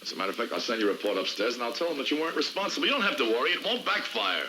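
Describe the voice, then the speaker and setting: An actor playing a harried, placating boss in a prosecutor's office in an American crime drama, brisk, weary and appeasing. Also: male voice, medium pitch, very animated, sharp tone, slight background noise.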